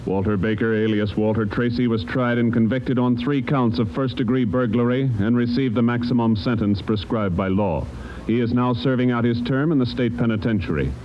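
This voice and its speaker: A man's voice: mid-range voice, fairly quick. A man working as a radio announcer, reading a formal script in 1950s broadcast style. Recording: dull vintage radio recording, loud music.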